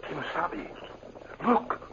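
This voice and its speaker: An actor playing a loyal sidekick and tracker in a 1950s radio western, speaking terse broken English. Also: male voice, measured pace, sharp tone. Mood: hushed alert. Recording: muffled old radio broadcast, clearly noisy.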